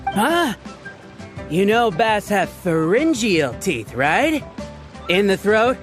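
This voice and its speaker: A proud, fussy fishmonger with a high, bouncing voice that leaps all over the place. He talks with indignant hawker's patter. Faint game music sits behind him. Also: sing-song, slow pace, heavily acted. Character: fussy proud male fish seller. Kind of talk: video game cutscene dialogue. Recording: game audio with background music.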